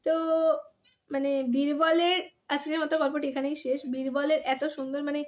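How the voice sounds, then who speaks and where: A woman's voice: high voice, level tone, normal speed. A woman storyteller. She speaks expressively, as if reading from a storybook, and chats casually with her audience. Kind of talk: expressive storybook narration and casual chat to viewers. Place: at home.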